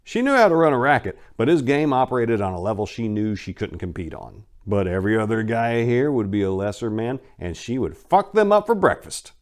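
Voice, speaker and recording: A man's voice: mid-range voice, very animated, a little fast, few pauses. A man narrating a crime short story as a dramatic reading, with theatrical, emphatic delivery.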